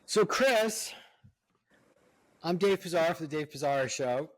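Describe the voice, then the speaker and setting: A man hosting a casual interview podcast, reacting with quick exclamations and asking questions. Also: a little high, expressive. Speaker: podcast host.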